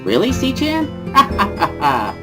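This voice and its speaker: A woman's voice: mid-range voice, unhurried, over music. A woman voicing a playful, bubbly schoolgirl in an amateur visual novel reading, girlish and exclamatory. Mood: bubbly, teasing. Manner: girlish, exclamatory, easily excited. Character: bubbly, playful schoolgirl interpreter who laughs loudly.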